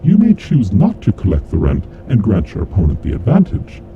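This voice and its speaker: A man playing a coldly vengeful kidnapper mastermind in a horror audio drama, announcing deadly rules in a deliberate, formal, taunting way. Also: huge pitch swings. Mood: formal, sardonic. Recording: dull lo-fi audio with clear rumbling noise.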